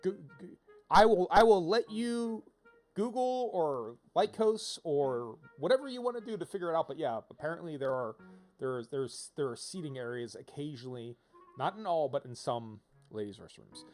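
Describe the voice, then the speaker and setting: A man giving casual, rambling game-stream commentary in an animated, digressive way, repeating himself for emphasis. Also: a little high, normal speed. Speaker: livestreamer playing a visual novel. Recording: game music behind the voice. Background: video game music.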